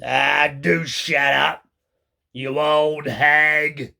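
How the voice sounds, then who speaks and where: Man playing a sly mean old husband, stammering, slow drawl, gravelly. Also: fairly high pitch, level tone, pushed and bright, heavily acted. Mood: grumpy. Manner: stammering, sneaky.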